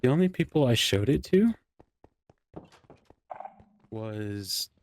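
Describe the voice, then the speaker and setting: A man's voice: mid-range voice, lively intonation, slow, darkish tone. A man talking casually and teasingly with dry delivery during a gaming roleplay. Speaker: Minecraft roleplay player.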